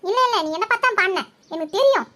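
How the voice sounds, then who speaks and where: A woman doing a shrill, quarrelsome village wife. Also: expressive, fast talker, sharp tone, full character voice. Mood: accusing. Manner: complaining, accusing, storytelling. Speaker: village housewife character. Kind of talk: Tamil cartoon voice acting.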